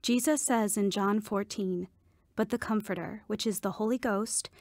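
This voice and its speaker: Female, acted: assured, gentle faith mentor for radio drama, mid-range voice, very dry sound. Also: medium pitch, fairly even intonation, normal speed, no echo, lightly acted. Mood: reassuring. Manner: reassuring, devout.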